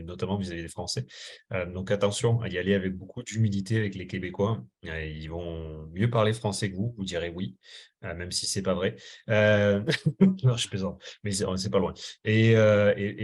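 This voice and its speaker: Low-voiced man moderating a panel. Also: darkish tone. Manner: joking, hesitant. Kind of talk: webinar panel talk.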